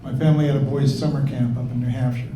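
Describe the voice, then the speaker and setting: Older New England man, halting guest on a call, warm tone, roomy and distant. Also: medium pitch, flat delivery. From New England, United States. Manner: halting, restarts often. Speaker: interview guest. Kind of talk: casual remote interview. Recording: roomy, distant, faint hiss.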